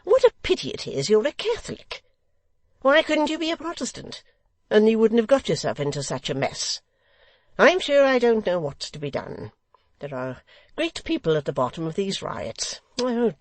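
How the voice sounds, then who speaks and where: A woman's voice: mid-range voice, big pitch swings, moderate pace, a little mellow, no room sound, voice pushed up. A woman voicing a testy, flustered male Lord Mayor who dithers and fusses. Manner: fussy, dithering.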